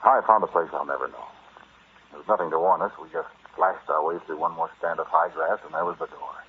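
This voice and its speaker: An actor playing an earnest explorer who recounts a dreadful discovery in an old-time radio horror drama, dramatic and halting. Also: male voice, rumbling low, husky, heavily acted. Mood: brooding, dramatic. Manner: dramatic, brooding, halting delivery. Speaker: narrator and lead character. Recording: muffled, noisy vintage radio recording.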